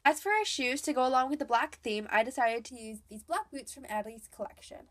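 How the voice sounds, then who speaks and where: A woman's voice: high-pitched, a little fast. A young woman with a cold, narrating a chatty, upbeat voice-over as she shows her dolls' outfits. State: has a cold. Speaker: doll collector vlogger. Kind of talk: scripted voice-over showing doll outfits.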